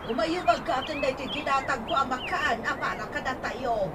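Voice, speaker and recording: A woman's voice: sits high, a little fast, heavy background noise, close to an everyday voice. A woman playing a hospitable auntie who loves outings, with an Ilocano accent, in a radio comedy drama. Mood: welcoming.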